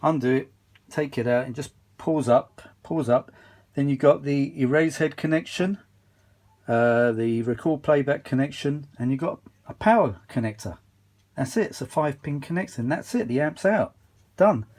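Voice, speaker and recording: A man's voice: mid-range voice, moderate pace, a little mellow. Rambling Englishman chatting through a repair video. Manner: rambling, thinking aloud, mildly sweary. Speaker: vintage audio hobbyist filming a repair update. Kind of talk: casual, unscripted workbench chat to camera. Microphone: phone camera held while filming.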